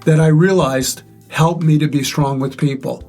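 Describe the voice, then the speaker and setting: An older man with a relatively loud voice, reflectively sharing life stories and advice in measured, pause-filled speech. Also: fairly high pitch, fairly even intonation, faint music behind. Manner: measured, pausing often with "uh". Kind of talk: reflective conversational storytelling.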